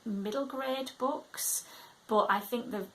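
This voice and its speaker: Soft-spoken Yorkshire woman talking books. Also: on the high side. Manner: chatty and measured, often trailing off into soft asides. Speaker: book vlogger. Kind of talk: casual book vlog, reading blurbs aloud.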